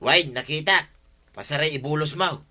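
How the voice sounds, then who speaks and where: A man's voice: a little high, normal speed, bright, forceful tone. An actor playing an irritated, blustering village man in an Ilocano radio comedy drama. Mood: confrontational.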